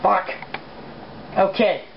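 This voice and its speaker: A woman reading fan fiction aloud as an amateur, casual and blunt in her delivery. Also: drawn-out words. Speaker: reader. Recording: muffled, noisy home recording.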